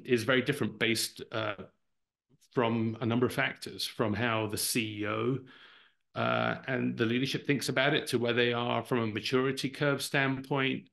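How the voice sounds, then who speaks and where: A man's voice: fairly low pitch, gravelly, a bit bright. Brazilian-born man with an English accent. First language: Portuguese. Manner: thoughtful, often repeats words while finding phrasing. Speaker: guest, marketing executive. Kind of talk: conversational interview answers.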